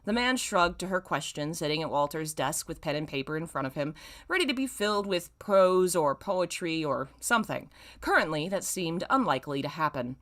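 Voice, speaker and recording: A woman narrates fan fiction with theatrical flair. Her voice sits a little low, yet her intonation moves widely and expressively from line to line. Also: lively intonation, normal speed, fairly bright tone. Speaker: host reading fan fiction aloud. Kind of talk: dramatic story reading with amused asides.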